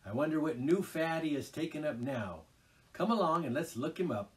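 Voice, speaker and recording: A man voicing a good-natured, brisk male water rat. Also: on the high side, expressive, far from the mic, pitched above the performer's own voice, natural voice. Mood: knowing. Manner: brisk, hearty, chatty.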